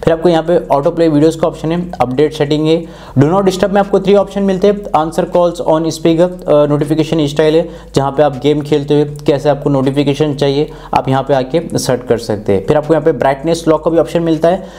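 A man's voice: a little high, steady tone, brisk, dark tone. A man in his twenties with an Indian accent, explaining phone features step by step as a tech review host. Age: 20s. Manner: instructional, step-by-step. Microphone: clip-on lapel mic.

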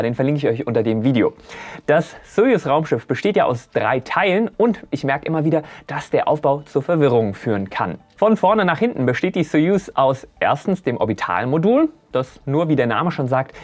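A man, hosting a spaceflight explainer in a chatty way, addressing viewers directly with casual asides. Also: medium pitch. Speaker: host of a spaceflight explainer channel. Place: small indoor studio set. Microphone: lapel mic.

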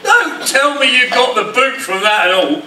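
A man's voice: very high-pitched, sing-song, normal speed, sharp tone, heavily acted. A man playing an exasperated builder, the straight man of a pantomime double act, projecting to the audience. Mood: bossy, incredulous. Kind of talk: pantomime cross-talk comedy. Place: theatre stage. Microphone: distant camera in audience. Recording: echoey hall from far off, noisy audience.

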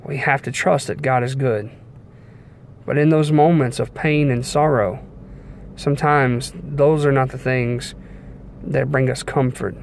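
A man's voice: medium pitch, fairly even intonation. Earnest young male preacher, noisy car. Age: young adult. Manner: earnest, measured, phrase by phrase with pauses. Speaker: preacher giving a devotional message. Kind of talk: informal sermon to camera. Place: inside a car. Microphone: phone at arm's length. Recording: clear background noise inside a car.

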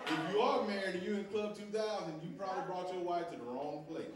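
A man's voice: high-pitched, fast talker, very noisy. A young man, preaching a story-driven sermon at a church pulpit, with call-and-response. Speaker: guest preacher. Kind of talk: sermon with sung opening. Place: large church sanctuary. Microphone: stand mic at pulpit, far camera. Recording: echoey sanctuary from far off. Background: organ music.

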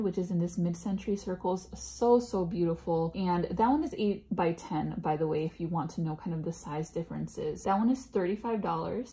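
A woman talking casually and enthusiastically over a screen recording, her voice a little raspy after a day of work. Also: lowish voice, warm tone. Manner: chatty, enthusiastic, gushing. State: slightly raspy voice. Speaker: planner vlogger. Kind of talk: casual screen-share walkthrough. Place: at home.

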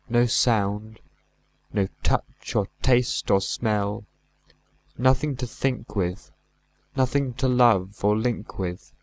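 Male poetry reader, lower-pitched, very slow and deliberate, phrasing in short measured units. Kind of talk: poetry recitation.

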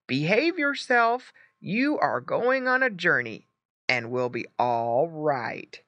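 A woman's voice: low-pitched, sing-song, slow, vocal fry, pushed and bright, no room sound, full character voice. A woman voicing a firm, fatherly grown male pig in a storybook. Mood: firm reassurance.

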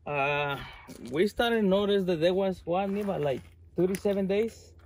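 The grower out among his plants, a man with gravelly fry in a lightish voice, answering a visitor haltingly in non-native English. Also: high-pitched, darkish tone, slight background noise. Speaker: fruit grower being interviewed. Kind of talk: casual interview answers. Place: outdoors on a sunny farm.

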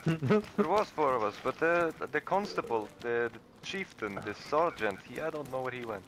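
A man bantering with deadpan jokes and crude language in casual game chat. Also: mid-range voice, moderate pace, background noise. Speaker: gamer, clan member.

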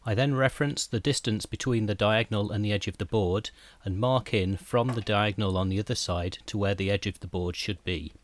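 Brit guy, lowish voice, steady how-to voiceover for a workshop build. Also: a little fast, few pauses. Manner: calm, methodical step-by-step explanation. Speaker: woodworking channel host. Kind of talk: scripted how-to voice-over.

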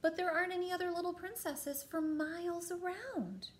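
A woman voicing a doting storybook queen with a dramatic, breathy, drawn-out delivery. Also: very high voice, slight background noise, voice pushed up. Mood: puzzled. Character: doting, gasping storybook queen, astonished mother.

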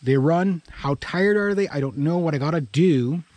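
A man's voice: fairly high pitch, moderate pace, darkish tone, no room sound. A middle-aged Canadian man chatting casually for a vlog outdoors, rambling and thinking aloud. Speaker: vlogger. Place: outdoors in a grassy yard by a pickup truck. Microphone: action camera worn on body or held at arm's length.

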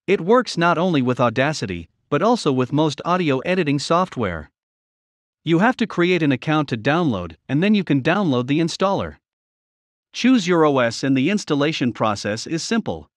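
A man narrating a step-by-step software tutorial in a plain, measured instructional manner. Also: a little high, no room sound.

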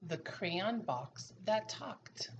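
A middle-aged woman, a preschool teacher, reading a picture book aloud expressively and deliberately to young children. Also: on the low side, expressive, muffled recording, slight background noise. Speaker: pre-K teacher. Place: small room at home, indoors.

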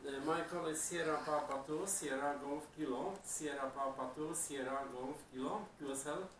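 A man in his fifties with a Polish accent, speaking halting, repetitive English as an amateur radio operator making a contact. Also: on the high side. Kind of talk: ham radio contact, spelling call signs phonetically. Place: living room, indoors. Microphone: camera placed across the room. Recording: echoey room, distant mic, clear background noise.

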